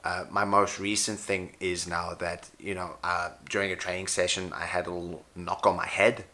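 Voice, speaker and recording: A man's voice: sits low, bright, forceful tone. A man, a pro wrestler and jiu-jitsu coach, talking in a rambling, wry, unscripted way about his injuries; his voice tires easily. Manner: rambling, self-correcting, wry. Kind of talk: unscripted casual talk to camera.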